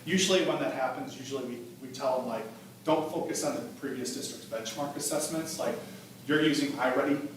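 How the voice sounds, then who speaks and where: A man's voice: mid-range voice, fairly quick, a little hiss or hum, low-quality recording. A man explaining assessment data to a school board in a conversational way, often repeating words while he thinks aloud. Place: board meeting room. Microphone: table microphone.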